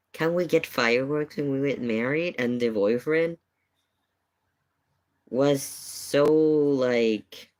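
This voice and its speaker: A streamer chatting casually and telling a rambling story, with hesitant, repetitive phrasing. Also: mid-range voice. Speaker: live streamer reacting to videos. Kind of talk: casual livestream chat, rambling anecdote.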